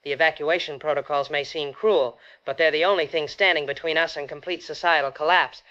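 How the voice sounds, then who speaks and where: Guy as grim nurse on 40s radio drama, earnest, firm, slightly high sharp voice, muffled sound. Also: fairly even intonation, brisk. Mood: grim, resolute. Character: grim, resolute nurse interviewed on 1940s radio. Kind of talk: 1940s radio drama acting.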